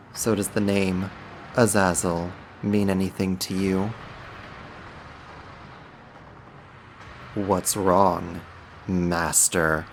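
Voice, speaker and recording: ASMR roleplay archdemon, male performer: low-voiced, measured, mocking, menacing, dominant. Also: slight background noise. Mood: menacing, relishing. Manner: theatrical, taunting, slow and deliberate.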